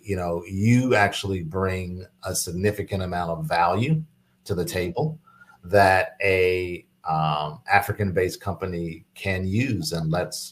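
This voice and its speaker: A man speaking as an interview guest, explaining business matters in a conversational pitch.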